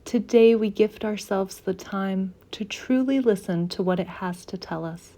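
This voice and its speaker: Woman doing a guided meditation, really slow and mellow, soft soothing delivery with little pauses. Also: medium pitch. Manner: soft, soothing, unhurried, gently pausing.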